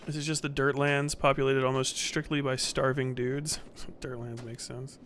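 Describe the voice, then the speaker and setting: A man's voice: medium pitch, flat delivery, moderate pace, fairly bright tone, slight background noise. A man streaming a game, muttering casual commentary, swearing and reading menus aloud.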